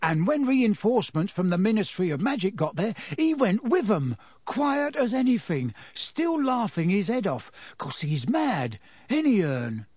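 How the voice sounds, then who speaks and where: Audiobook role: gossipy young working-class conductor played by a male reader, raised pitch, slightly husky, chatty and excitable. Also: unhurried, no room sound, heavily acted. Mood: gossipy, awed. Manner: chatty, colloquial, dropped-grammar gossip with tag questions. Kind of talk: audiobook character voice.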